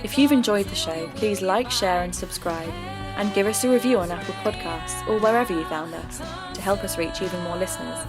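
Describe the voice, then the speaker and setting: A woman playing a reflective podcast host, reading scripted narration in a measured, confiding way. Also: mid-range voice, close to an everyday voice. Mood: friendly, measured. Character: reflective female podcast host investigating folklore mysteries. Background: music.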